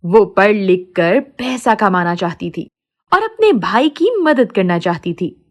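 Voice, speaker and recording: A woman's voice: mid-range voice, sing-song, unhurried. A woman narrating a scripted moral story for children as a storyteller.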